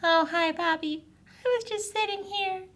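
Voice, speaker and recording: Squeaky, bright, hesitant little puppet girl. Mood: glum, indignant. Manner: hesitant, halting. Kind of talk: children's puppet show acting.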